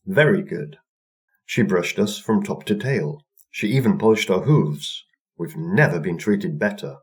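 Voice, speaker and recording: A man's voice: low-pitched, expressive, a little slow. A man voicing contented talking cows in a storybook tale. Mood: pleased.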